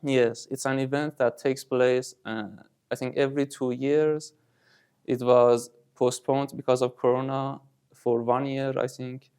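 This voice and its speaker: A man from Iran co-hosting a casual chat, measured and slow, with frequent fillers. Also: medium pitch, little pitch movement, a little mellow, smooth. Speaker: co-host.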